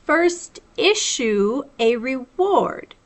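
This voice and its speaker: A woman voicing a pompous, long-winded male owl from a storybook, speaking in emphatic, drawn-out phrases. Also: high-pitched, very animated, pitched above the performer's own voice, full character voice. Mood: pompous, instructing.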